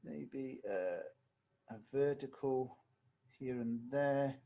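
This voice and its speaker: A man with a slightly hoarse voice, teaching watercolor live on a video call and thinking aloud as he paints. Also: mid-range voice, takes it slowly. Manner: relaxed, thinking aloud while painting, self-correcting. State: slightly hoarse, losing his voice. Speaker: watercolor tutor. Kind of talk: live online painting tutorial.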